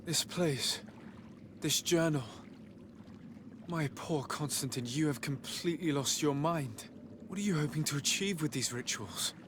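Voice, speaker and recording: Earnest male noble played by an actor, courteous and measured, game cutscene, ambient noise behind. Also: fairly high pitch, lightly acted. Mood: troubled. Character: earnest, courteous young noble diplomat, burdened by family betrayal. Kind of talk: video game cutscene dialogue acting.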